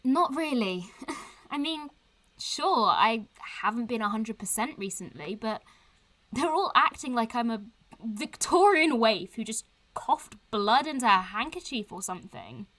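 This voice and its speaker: A cheeky young woman in an audio drama, with a highish, very lively voice that swings up and down. She is informal, irreverent and teasing, chatting as if to her followers. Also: a little slow. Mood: defensive, frustrated. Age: young adult. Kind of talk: audio drama acting, vlog-like casual chat.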